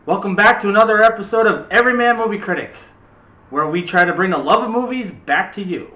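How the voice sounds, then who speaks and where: A man's voice: high voice, fast, bright, forceful tone, slight background noise. Man hosting in an echoey room. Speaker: host of a movie review show. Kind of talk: casual movie discussion.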